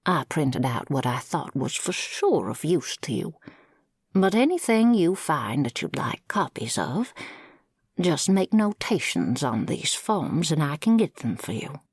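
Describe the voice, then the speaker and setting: A woman playing a kindly older female librarian in an audio roleplay, with a husky, creaky voice and gently formal, folksy manner. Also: low-pitched, very animated, unhurried, dry recording, clean studio sound, heavily acted. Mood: helpful, gentle. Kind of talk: scripted audio roleplay acting.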